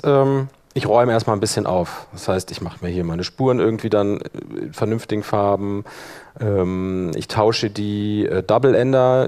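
A man presenting a workshop, explaining a software demonstration informally and talking himself through each step as he works. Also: lowish voice. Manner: informal, talking through clicks while working. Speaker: workshop presenter, audio editor.

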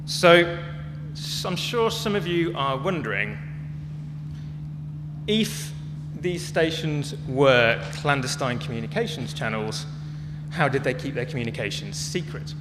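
A man's voice: medium pitch, expressive, unhurried, over music. A man speaking as a conference presenter, explaining things in a prepared public talk. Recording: echoey hall with clear hum and noise.

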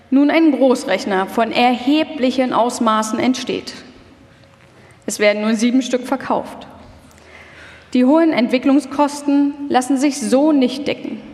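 A woman's voice: a little high, a little mellow. A woman reading scripted documentary narration live, in a formal, factual manner. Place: large hall, live stage reading. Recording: reverberant hall.

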